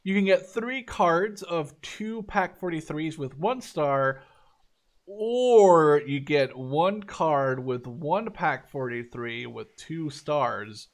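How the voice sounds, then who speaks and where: Young man with a higher-pitched voice. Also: expressive, slow. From Canada or Netherlands, Dutch. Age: 20s. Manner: enthusiastic, informal, swears freely. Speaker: gaming video creator. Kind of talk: casual game review commentary.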